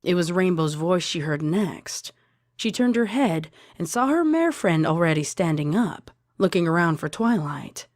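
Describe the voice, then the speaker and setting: A woman's voice: on the low side, very animated, unhurried, a little mellow, no room sound, clean studio sound. A woman narrating a scripted story as a fan fiction reader. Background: music.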